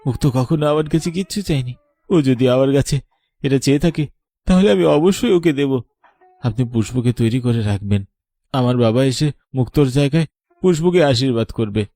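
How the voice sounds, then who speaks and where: A man's voice: medium pitch, expressive, brisk, dark tone, no echo, natural voice. A man playing an earnest, solemn villager in a cartoon drama. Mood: heartbroken, resolute. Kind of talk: Bengali cartoon story voice acting.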